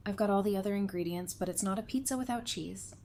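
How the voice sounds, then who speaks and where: A woman's voice: mid-range voice, fast, darkish tone, lightly acted. A woman playing a wry, friendly, easygoing survivor in casual audio drama dialogue. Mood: weary, wry. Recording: noticeable background ambience and noise.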